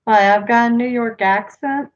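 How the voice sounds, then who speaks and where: A woman's voice: on the high side, moderate pace. A woman in her forties from the United States, chatting casually on a home live stream and answering viewers' comments one by one. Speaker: vlogger reading live chat. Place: small room at home, indoors. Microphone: webcam built-in mic. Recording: slightly dull lo-fi webcam audio.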